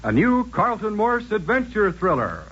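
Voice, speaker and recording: Casting: male American 1940s radio narrator, fairly high and very expressive, scripted delivery, dull lo-fi broadcast sound. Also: normal speed, noisy background. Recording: muffled old-time radio recording.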